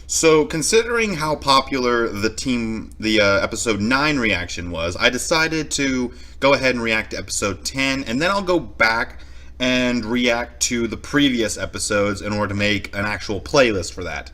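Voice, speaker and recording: A man hosting a reaction video with casual commentary, explaining himself in wordy, measured phrases. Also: medium pitch, expressive, pushed and bright.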